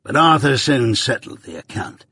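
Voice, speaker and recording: A man voicing a rough older male ex-convict recounting his past in rustic dialect, confiding and plain. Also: mid-range voice, very animated, normal speed, bright, forceful tone, no room sound, clean studio sound, heavily acted.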